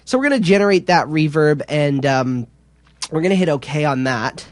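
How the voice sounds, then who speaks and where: A man giving an informal, somewhat halting software tutorial as an instructor. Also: a little high, animated, no echo.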